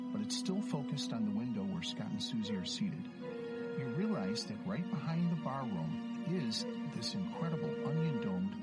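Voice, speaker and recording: A male storyteller narrates with a lively, varied pitch, painting each scene in detail. Music plays clearly behind his voice. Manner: vivid, scene-setting storyteller. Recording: music underneath.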